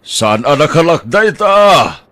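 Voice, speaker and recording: A man's voice: on the high side, sing-song, takes it slowly, bright, forceful tone, exaggerated voice. A man voicing an earnest husband and son in an audio drama, talking in an urging, reassuring manner. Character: dutiful middle-aged husband and son, earnest.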